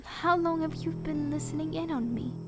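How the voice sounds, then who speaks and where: An actress playing a young princess, earnest and proud, with formal archaic diction in a theatrical audio drama. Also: female voice, high-pitched, moderate pace, music in the background, lightly acted. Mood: alarmed, imperious. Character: young princess, pleading yet proud and imperious.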